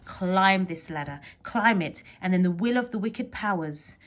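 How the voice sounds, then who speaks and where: A woman's voice: on the low side, animated, a little slow. Woman as an emphatic, protective fairy godmother. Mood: earnest, urging. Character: protective fairy godmother, earnest, giving a magic gift. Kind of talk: poem read aloud to children.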